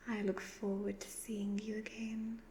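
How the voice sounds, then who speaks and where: Slow breathy evil witch, acted by a woman. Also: mid-range voice, completely flat delivery, warm tone, lightly acted. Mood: menacing, seductive. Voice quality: breathy, half-whispered. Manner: slow, deliberate, hypnotic, condescending. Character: evil ageless witch, youthful-looking, gloating, seductive, predatory. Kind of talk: soft-spoken ASMR roleplay. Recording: faint background noise.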